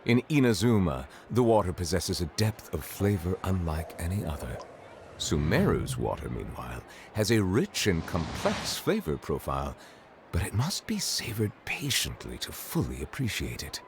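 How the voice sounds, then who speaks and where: A grave, formal judge. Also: male voice, big pitch swings, a little hiss or hum. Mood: musing. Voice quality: deep, measured and formal. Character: stately aloof male judge, grave and formal. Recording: game audio with background music.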